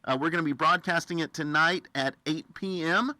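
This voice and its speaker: A very bright American male voice, a little high and very dry. He hosts a friendly radio interview, upbeat and folksy, readily cheering on his guest. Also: normal speed, no echo. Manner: enthusiastic, affirming, folksy.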